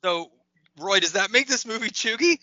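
A man's voice: high voice, lively intonation, normal speed, sharp tone, no room sound, lo-fi sound. A man hosting a casual podcast chat, teasing and laughing a lot. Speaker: podcast host.

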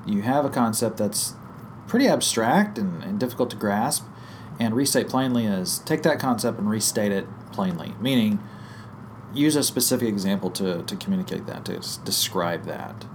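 A man with a hoarse, croaky voice from a head cold, explaining a teaching idea in a relaxed podcast chat, thinking aloud with fillers and restarts. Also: fairly low pitch, moderate pace, slight background noise. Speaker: guest teacher on small group ministry.

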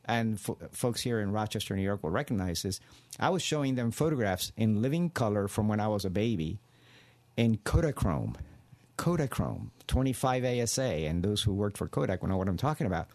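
A man's voice: lowish voice, animated, fairly quick, darkish tone. An older man from Cuba, a radio guest telling his family story in an earnest, digressive way. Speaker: studio guest.

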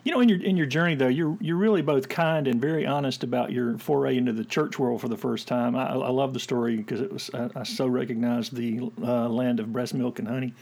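A man's voice: medium pitch, fairly even intonation, fairly quick, gravelly, few pauses, darkish tone. A middle-aged man hosting an interview, asking friendly questions with a joking touch. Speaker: interview host. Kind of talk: conversational interview.

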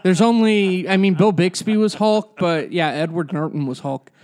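A man's voice: high voice, moderate pace, darkish tone. A man co-hosting a casual podcast, laid-back and teasing, swearing casually. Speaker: podcast co-host.

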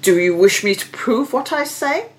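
A woman's voice: a little high, expressive, normal speed, pitched above the performer's own voice, natural voice. A woman voicing a confident, courtly young sea captain in a storybook reading, assured and challenging.

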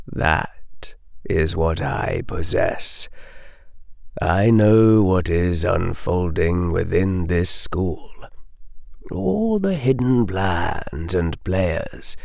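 A man voicing a sinister cloaked male mist being in a slow, dry, sibilant whisper, coaxing and insinuating. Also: deep voice, creaky, darkish tone, no room sound, heavily acted. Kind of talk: audiobook character voice.

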